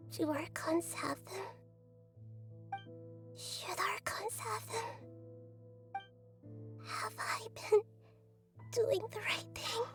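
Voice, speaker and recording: A woman voicing a small childlike god of wisdom in a game, gentle and lonely, with a soft, breathy, hesitant delivery. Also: extremely high pitch. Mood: doubting, lonely. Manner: hesitant, pensive, measured. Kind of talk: anime-style game voice acting.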